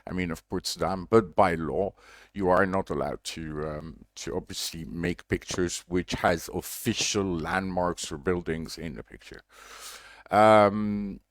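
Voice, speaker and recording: Low-voiced man telling a long story. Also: measured pace, no echo. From Belgium. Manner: rambling, many fillers and restarts. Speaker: diver and reef conservation project lead. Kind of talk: casual livestream update, storytelling.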